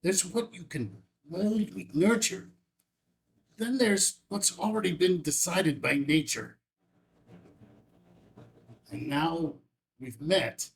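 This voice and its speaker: An older rancher father in a film drama , played by a man at a table read. He speaks slowly and deliberately, with a gravelly creak in a slightly higher male voice. He is gentle and sincere, halting as he goes. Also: poor audio. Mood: solemn confession.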